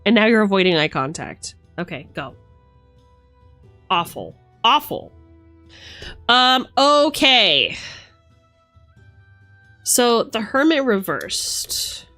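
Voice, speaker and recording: Slow-talking woman streamer over music. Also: mid-range voice, big pitch swings, pushed and bright. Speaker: streamer giving a tarot card reading. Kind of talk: casual live tarot reading, chatty. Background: background music.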